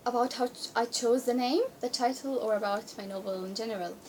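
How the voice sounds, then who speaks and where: A woman's voice: on the high side, fairly quick, darkish tone, a little hiss or hum, rough recording. A sixteen-year-old female author from Jordan, native in Arabic and Spanish, giving simple, hesitant answers in a casual interview. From born in Spain, raised in Jordan from age seven. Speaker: young author, interview guest. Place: radio studio.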